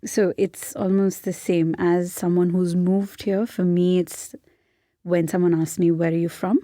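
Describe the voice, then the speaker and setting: A young woman from India speaks with an Indian accent, musing aloud and restarting her thoughts as she answers. Her voice sits a little low and sounds mellow and warm. It is recorded dry, with no room echo. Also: little pitch movement, a little slow. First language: Hindi. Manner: thoughtful, searching, with frequent restarts. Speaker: podcast guest. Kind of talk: reflective conversational interview.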